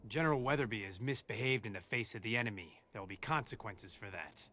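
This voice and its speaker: A forceful wartime general. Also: male voice, lowish voice, quick pace, slight background noise. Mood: defiant, stern. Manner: firm, plain-spoken, terse. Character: proud, defiant American lieutenant general, honorable and gruff. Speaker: army general. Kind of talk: scripted game cutscene drama.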